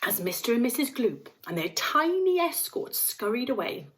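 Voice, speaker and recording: A woman schoolteacher addresses young pupils with storybook narration and informal explanation. Her voice sits fairly high and moves expressively up and down. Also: very animated, normal speed, a bit bright.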